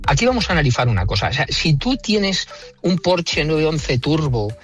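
A man's voice: a little high, sing-song, normal speed, faint music behind. A man with a central Spanish accent, explaining things conversationally with emphatic opinions and everyday examples, as an engineer being interviewed. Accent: central Spain Spanish. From Madrid, Spain. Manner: emphatic, opinionated, rhetorical questions.

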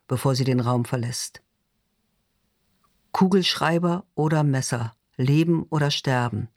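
A man's voice: on the high side, no echo, studio quality. A man narrating literary fiction in a scripted audiobook reading style.

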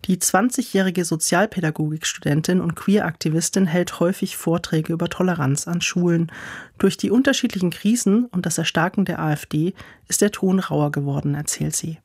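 Measured news narrator, dry close sound. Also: mid-range voice, a little mellow, breathy edge, dry recording. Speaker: news reporter.

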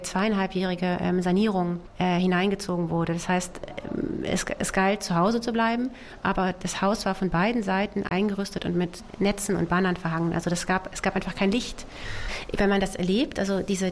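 A woman novelist with Russian as her first language, raised in Germany, answering interview questions thoughtfully with many pauses, fillers and restarts. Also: on the low side, slight background noise. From Germany, child of Soviet immigrants. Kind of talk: literary interview.

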